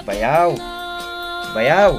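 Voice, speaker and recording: A woman's voice: sits low, big pitch swings, extremely slow, over music, full character voice. A woman acting in an Ilocano radio drama as a visiting in-law, calling out and mildly scolding. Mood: calling, inquiring. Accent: Ilocano, northern Philippines. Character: visiting in-law calling out and grumbling about loud music.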